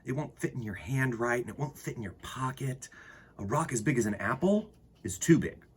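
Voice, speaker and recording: A man with a husky voice reading a picture book aloud to children in an expressive way, with dramatic pauses and emphasis. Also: medium pitch, slight background noise. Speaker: children's storytime host and reader.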